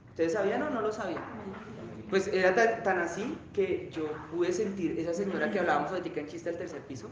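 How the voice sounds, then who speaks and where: A man's voice: fairly high pitch, noisy background. A man preaching a sermon, then leading the church in emphatic, fervent prayer. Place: church hall. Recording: very echoey church hall with guitar.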